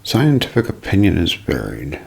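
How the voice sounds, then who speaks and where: A man giving a scripted, dramatic ASMR mystery narration in a gravelly, husky voice that often sinks toward a whisper, with deliberate storyteller phrasing. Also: low-pitched, wildly swooping pitch.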